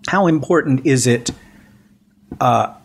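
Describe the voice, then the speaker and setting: A man's voice: mid-range voice, takes it slowly, creaky. A man co-hosting a podcast in casual chat, stammering often and teasing his partner with dry questions. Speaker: podcast co-host.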